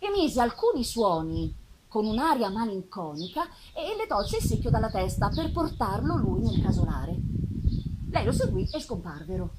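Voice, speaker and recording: A woman giving an expressive, dramatic literary reading with pauses and drawn-out phrases, performed live on stage. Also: fairly high pitch, noisy background. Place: live theatre festival performance. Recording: live music behind the voice. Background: live musical accompaniment.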